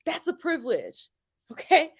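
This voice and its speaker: A woman's voice: high voice, huge pitch swings, normal speed, gravelly. A young woman giving a casual opinion monologue to camera, emphatic and colloquial, often checking in with "okay?", swearing freely and laughing between points. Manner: colloquial, drops into "y'all" and "ain't". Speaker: YouTube commentator.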